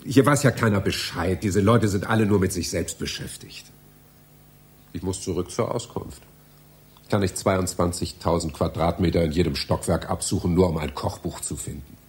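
An actor playing a polite but exasperated library visitor in a satirical radio play, dry and apologetic in manner. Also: male voice, deep voice, normal speed, natural voice. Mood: exasperated muttering.

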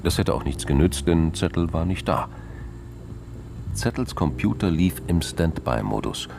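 A man's voice: low-pitched, big pitch swings, measured pace, vocal fry, noisy background. A man reading scripted narration for a radio drama, with vehicle sounds and ambience behind him.

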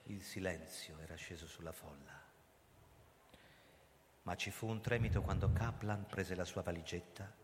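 A male actor playing a grim, accused ex-police commissioner who narrates his own story in a radio drama, brooding and deliberate. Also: sits low. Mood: guarded. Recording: hall reverb, noisy live stage ambience.

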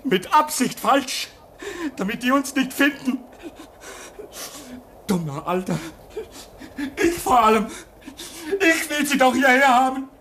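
A man's voice: extremely high pitch, expressive, slow, sharp tone, heavily acted. An actor playing a desperate, vain young man in a German radio drama, pleading and overwrought in a theatrical mid-century style. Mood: accusing, frantic. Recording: noisy old radio drama recording.

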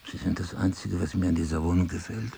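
An actor playing a middle-aged amnesiac husband in an audio drama, husky and gravelly, probing and dryly self-mocking. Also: male voice, low voice, fairly even intonation, fast talker, mellow, full character voice. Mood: wryly self-impressed. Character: amnesiac husband, a crime writer, searching and wryly ironic. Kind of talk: intimate audio drama dialogue.

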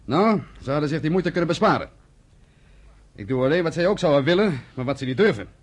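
An actor in a Dutch radio play voicing a bitter, defiant militant younger brother with scornful, declamatory delivery. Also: male voice, a little high, animated, fast.

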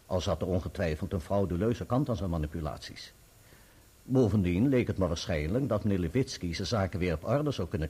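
An actor playing a composed, candid barrister who gives formal, explanatory testimony in a radio play. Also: male voice, on the low side, warm tone, breathy edge. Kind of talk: radio play courtroom acting.

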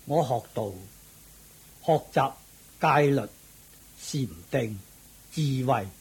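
Slow, husky old monk. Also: male voice, medium pitch, heavily acted. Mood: solemn. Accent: Cantonese. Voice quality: husky, breathy. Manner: slow, measured, solemn. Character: solemn old wandering monk, serene. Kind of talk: Cantonese radio drama acting.

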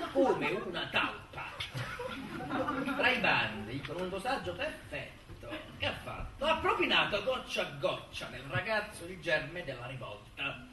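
An actor playing a scornful, indignant courtroom prosecutor on stage, declaiming with dramatic pauses and sudden swells from hushed to loud. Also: male voice, sits high, heavily acted. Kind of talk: theatrical stage monologue, courtroom harangue. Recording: distant, echoey live recording with background noise.

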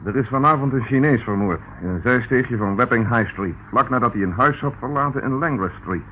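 An actor playing a brisk, insistent police inspector in a radio play, firm, commanding and businesslike. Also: male voice, medium pitch, lightly acted. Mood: firm, pressing. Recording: narrow, tinny old radio recording, faint noise.

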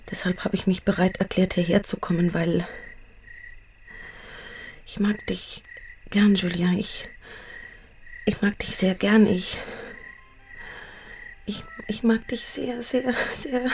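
Troubled, hesitant young woman. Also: mid-range voice. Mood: pleading, loving. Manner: halting, repeating words, dropping to breathy near-whispers. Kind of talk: radio play acting, intimate dialogue.

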